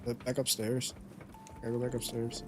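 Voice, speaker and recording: A man giving casual, halting commentary while streaming a game playthrough. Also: medium pitch, completely flat delivery, noisy background. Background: game music.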